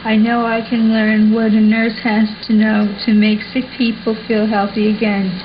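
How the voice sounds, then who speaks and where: A woman playing an earnest little schoolgirl reading aloud haltingly in a puppet show. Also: fairly high pitch, completely flat delivery, natural voice. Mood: earnest, reciting. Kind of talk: scripted puppet show recitation. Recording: dull lo-fi sound with faint noise.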